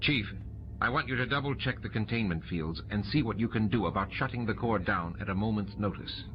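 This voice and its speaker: A man's voice: fairly low pitch, fairly quick, background noise, natural voice. A man voicing a commanding male space station commander who gives firm orders in an audiobook. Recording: muffled audio under loud music.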